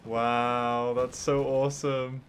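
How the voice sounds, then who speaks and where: A video game streamer giving casual, soft-hearted, gushing reactions during play. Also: medium pitch, little pitch movement, slow, a little hiss or hum. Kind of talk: casual gameplay reaction commentary. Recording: game music behind the voice.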